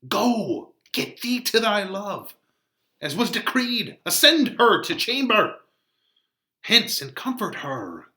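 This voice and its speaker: Man playing an exasperated, scolding friar, emphatic and high-strung. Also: very animated, slow, pushed and bright, full character voice. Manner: emphatic, declamatory, archaic verse. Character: exasperated, scolding older friar, a man, urging good sense. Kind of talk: dramatic reading of a Shakespeare play.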